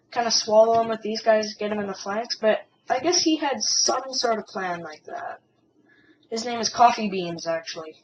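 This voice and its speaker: A woman talks through a strategy game replay in a halting, casual way, a little hoarse from a cold. She sounds set back from a dull, muffled mic. A faint hiss sits under her voice. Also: mid-range voice, distant mic. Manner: hesitant, many ums and restarts. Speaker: game commentator. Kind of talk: casual gameplay commentary.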